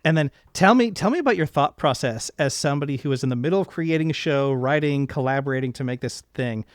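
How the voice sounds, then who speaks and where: A man's voice: on the high side, animated, fast talker, dry recording, studio quality. A man hosting a podcast interview in a casual way, thinking aloud as he builds and rephrases his questions.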